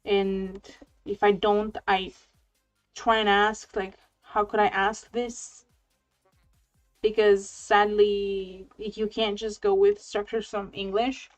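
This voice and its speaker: A woman's voice: medium pitch, flat delivery. A woman whose first language is Romanian, chatting casually and hesitantly as a guest on a live stream over a remote call. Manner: slow, hesitant, with frequent fillers. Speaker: guest artist. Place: at home, living in Germany. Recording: dull lo-fi sound with faint music.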